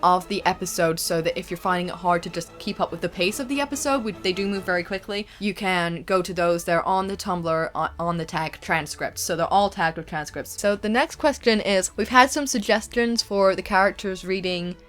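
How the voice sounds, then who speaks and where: A woman's voice: lowish voice, brisk, few pauses, fairly bright tone. A woman answering viewer questions as a co-creator, in a casual, chatty and enthusiastic way. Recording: voice over background music. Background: instrumental music.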